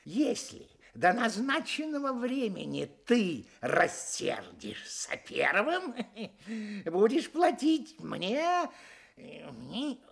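An actor playing a sly, bossy rich master in a fairy-tale audio play, husky and halting with crafty chuckles. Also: male voice, high voice, very animated, a bit bright, heavily acted. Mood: sly, self-satisfied.

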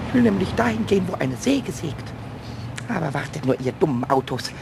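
A man playing a cheeky, defiant little invisible kobold in a German children's radio play, with a squeaky, creaky voice and excitable, stammering grumbles. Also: a little fast, full character voice. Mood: sulky defiance. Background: street traffic noise.

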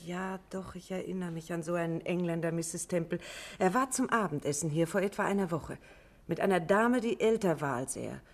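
A hotelier's wife in a vintage German radio drama. Her voice sits fairly low. She chats readily, stays careful about what she gives away and is eager to be of help. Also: fairly quick. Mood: thoughtful. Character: talkative Scottish innkeeper's wife, guarded, helpful.

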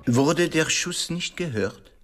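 An actor playing a thoughtful, methodical detective who questions witnesses with measured courtesy in a radio play. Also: male voice, on the high side, animated, loud music behind the voice. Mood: probing, courteous. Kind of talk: German radio play acting.

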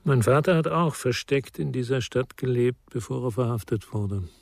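A middle-aged psychotherapist in a radio crime drama. His voice is husky and full of fry, recorded very dry, and he questions people in a reserved, reflective, measured manner. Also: medium pitch, no echo. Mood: sober, reserved. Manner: measured, probing. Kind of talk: naturalistic radio drama acting.

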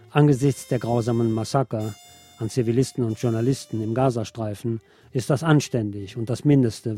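A man hosting a radio show, reading a scripted personal essay in measured, short literary phrases. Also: medium pitch, warm tone. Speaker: radio host.

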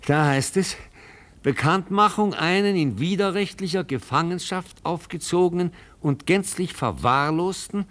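An actor playing a kindly, educated professor in a German radio play, explaining things patiently and earnestly. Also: male voice, high-pitched, a bit bright. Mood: solemn reading. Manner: genial, explanatory, slightly halting.

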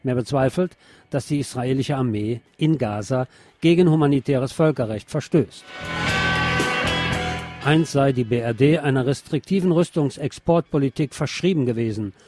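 A male radio presenter reading out press reports and commenting on them in a deliberate, emphatic way. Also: mid-range voice, warm tone. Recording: music behind the voice. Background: background music.

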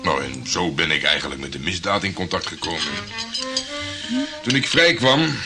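An actor playing a tough, boastful private detective in a Dutch radio play, swaggering and swearing in colloquial speech. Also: male voice, low voice, lively intonation, normal speed, over music.

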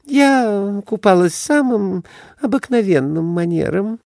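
Man putting on a high-voiced, coy lady. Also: lively intonation, takes it slowly, creaky, darkish tone, no room sound, studio quality, heavily acted. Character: coy, modest married lady.

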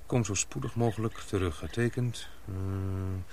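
A man's voice: low-pitched, steady tone, unhurried, a little mellow, a little hiss or hum. An actor playing an earnest, protective young man in a radio drama, speaking in a hesitant, reassuring way. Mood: puzzled. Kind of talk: radio drama acting in Dutch.

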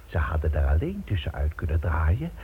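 An actor in a radio play voicing an eager, scheming security man with a rough voice, insistent and persuasive. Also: a bass voice, very animated, moderate pace, a little hiss or hum. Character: eager, scheming male security-firm employee pitching a heist. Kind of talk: Dutch radio play acting. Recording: tinny, band-limited old radio recording.

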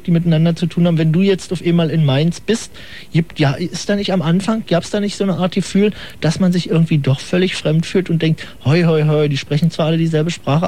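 A man's voice: fairly high pitch, warm tone. A man hosting a radio call-in show, asking wordy, ironic questions in casual chat.

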